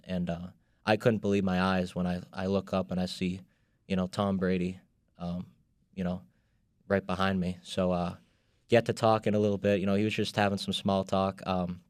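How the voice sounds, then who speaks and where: A man's voice: deep voice, no echo, clean studio sound. A young man with a Boston accent, a podcast guest telling a story with many ums and restarts. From Boston area. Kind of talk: casual podcast chat.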